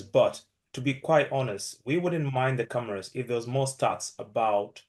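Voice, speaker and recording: A man from East Africa, a community member raising a point in a casual group discussion, hesitant with frequent fillers. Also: mid-range voice. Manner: hesitant, many fillers and restarts.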